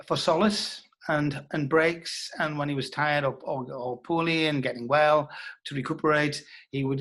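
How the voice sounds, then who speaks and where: A man with a mid-pitched voice giving an interview. He reminisces thoughtfully and haltingly, doubling back on words while he finds the phrase he wants. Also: a bit bright, low-quality recording. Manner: halting, reflective, repeating words as he searches. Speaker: interviewee, writer.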